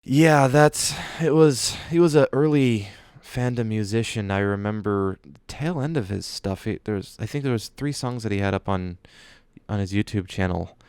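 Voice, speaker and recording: A man's voice: lowish voice, normal speed, darkish tone, clean tone, no room sound. A man co-hosting a podcast, chatting and commenting casually. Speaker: podcast co-host.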